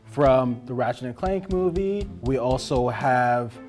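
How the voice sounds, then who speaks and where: A man hosts a casual group discussion with music behind him. His pitch sits in the middle range, and he gets animated when he brings in a new topic. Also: a little slow. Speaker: host. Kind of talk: hosted round-table discussion.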